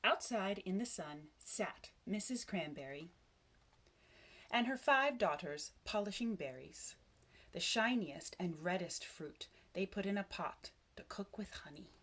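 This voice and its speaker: A woman reading a children's story aloud, with expressive, measured narration and dramatic phrasing. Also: medium pitch, slight background noise. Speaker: storybook reader.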